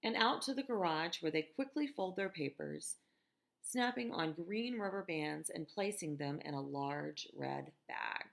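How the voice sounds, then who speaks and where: An American woman reading a picture book aloud to children in a gentle, expressive teacher's manner, with conversational asides. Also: lowish voice, a little slow, creaky. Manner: gentle, expressive, asks listeners questions.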